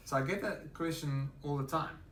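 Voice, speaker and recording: South african guy talking house buying, bright clear voice, slight background hiss. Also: on the high side, moderate pace. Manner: practical, confident, advising with asides. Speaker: real estate sales executive. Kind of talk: explanatory interview answers. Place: real estate office.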